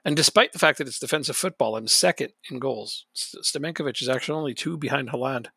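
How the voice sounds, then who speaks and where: A man's voice: mid-range voice, fast, vocal fry, pushed and bright, slightly rough, dry recording. A man chatting casually on a live stream while playing a football management game, rambling and joking and chuckling often.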